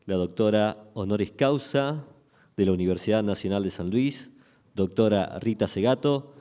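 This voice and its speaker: A man's voice: on the low side, warm tone. A man making a formal announcement at a university ceremony, introducing the next speaker. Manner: formal, measured. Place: university auditorium.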